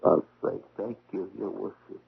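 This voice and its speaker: An actor playing a sullen, drunken valet in a 1970s radio drama, halting and befuddled. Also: male voice, low-pitched, fairly even intonation, vocal fry, slightly rough, heavily acted. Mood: defensive. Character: sullen, drunken male valet under questioning. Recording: dull vintage radio broadcast.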